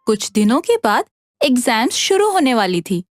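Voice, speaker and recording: Female narrator for animated stories; high register, swooping intonation. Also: normal speed, no echo, studio quality, faint music behind. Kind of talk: scripted story narration.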